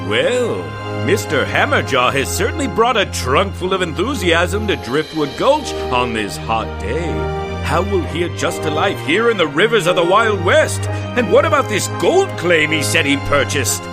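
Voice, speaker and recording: An excitable western serial announcer, played by a man, pitched high and swinging dramatically over a music bed. He narrates theatrically, as if posing grand cliffhanger questions. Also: unhurried. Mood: excited, teasing.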